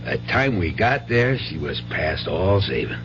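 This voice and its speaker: A hissy, muffled 1970s radio drama recording carries a good-natured country father. He rambles and stammers in a rural American accent, his pitch swinging widely. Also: very animated, a little slow, creaky, a bit bright, heavily acted. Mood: excited, amused. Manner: folksy, rambling, stammering delivery. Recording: dull hissy 1970s radio broadcast with hum.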